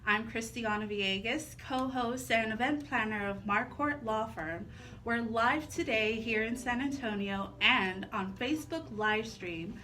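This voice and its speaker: American female radio co-host, very bright tone, fairly high voice, live talk show delivery. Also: unhurried, a little hiss or hum, rough recording.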